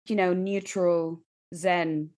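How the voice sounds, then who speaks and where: A woman's voice: lowish voice, steady tone, slow, darkish tone. A woman playing a tabletop roleplaying game, casually describing what her character does.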